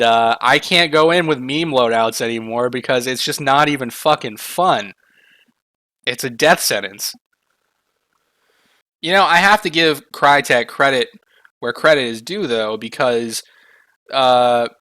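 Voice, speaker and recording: A man's voice: medium pitch, sharp tone, dry recording. A man, sick and losing his voice, ranting casually and heatedly about a game, swearing often. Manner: frustrated, swears often, builds to heated outbursts. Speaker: gaming video creator.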